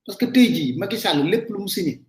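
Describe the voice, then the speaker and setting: A man's voice: sits high, lively intonation, fairly quick, echoey room. A man with a Senegalese accent giving emphatic, casual live political commentary straight to his viewers. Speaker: online commentator.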